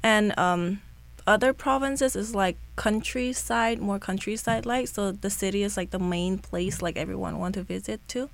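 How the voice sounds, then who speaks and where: Young female interview guest, mid-range pitch, informal and a bit hesitant, conversational answers. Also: moderate pace, vocal fry. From Cambodia. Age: young adult. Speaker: student guest being interviewed. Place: radio studio.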